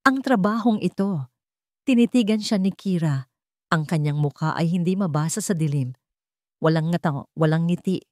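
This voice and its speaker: A woman narrating a scripted romance story in a measured, dramatic way with deliberate pauses. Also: sits low, warm tone, dry recording, clean studio sound.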